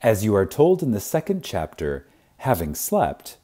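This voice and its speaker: A man reading a spiritual lecture like a sermon, reverent and emphatic, with deliberate pauses for effect. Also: on the low side, wildly swooping pitch, dark tone.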